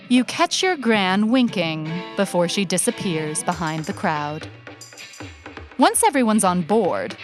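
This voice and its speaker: A woman narrating her own scripted short story as an author reading aloud. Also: medium pitch. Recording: music bed behind the voice. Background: music.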